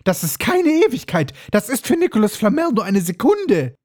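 A man voicing a bossy know-it-all schoolgirl who scolds her friends in a shrill voice. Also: very animated, normal speed, a little mellow, no echo, studio quality, full character voice.

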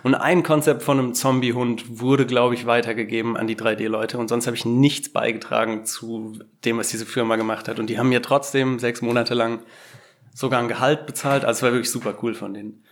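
A man's voice: medium pitch, level tone, brisk. Rambling, self-deprecating young man. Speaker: guest game designer. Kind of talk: casual podcast interview. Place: Berlin.

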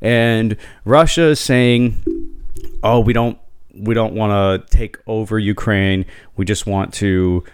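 A man's voice: lowish voice, steady tone, slow, clear, resonant tone, no echo, clean studio sound. A man hosting a podcast, chatting casually, rambling and swearing freely. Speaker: podcast host.

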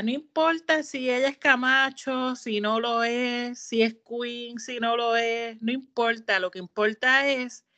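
Lady chatting on a podcast, slow, repeats stuff for emphasis, bright voice. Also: mid-range voice, dry recording. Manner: expressive, emphatic, repeats for effect. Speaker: podcast co-host. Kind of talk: casual podcast chat.

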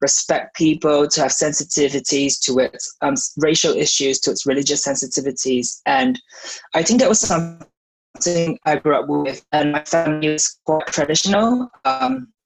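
A young man from Malaysia, a law student, answering interview questions in a thoughtful, measured way. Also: a little high, creaky, breathy edge, poor audio.

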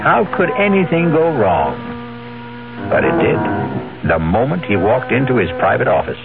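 A man's voice: medium pitch, big pitch swings, moderate pace, gravelly, narrow, tinny audio. A man hosting and narrating a radio mystery drama with dramatic scripted delivery. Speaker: host and narrator. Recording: thin radio broadcast, music behind.

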